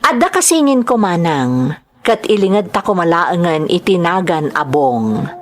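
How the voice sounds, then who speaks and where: A woman's voice: on the low side, big pitch swings, measured pace, a little hiss or hum. A woman with a Filipino accent, a program host and storyteller, reading aloud to her listeners. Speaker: host and storyteller of a drama channel. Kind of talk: reading greetings and a listener's letter.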